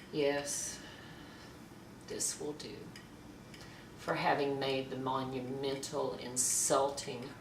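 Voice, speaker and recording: Bitter, brooding wife woman performer, theatrical monologue, slow and hushed, roomy noisy recording. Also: extremely low pitch, heavily acted. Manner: halting, repetitive, hushed brooding with breathy whispers. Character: bitter, self-loathing wife brooding over the husband who loves her. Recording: echoey, noisy room, distant mic.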